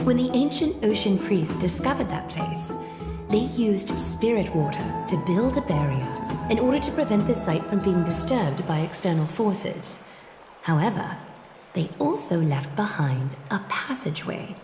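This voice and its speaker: Slow, regal, solemn game leader. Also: female voice, medium pitch, sing-song. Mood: solemn, stately. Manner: measured, formal, commanding, hushed delivery. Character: regal, serene female leader, solemn and quietly menacing. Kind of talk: scripted video game voice acting. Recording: echoey with loud music behind. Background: loud music.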